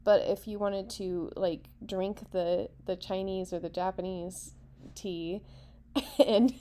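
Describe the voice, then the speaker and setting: A woman co-hosting a casual discussion, explaining her views in a relaxed, steady way with a few fillers. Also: mid-range voice, slow pace. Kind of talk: casual chat.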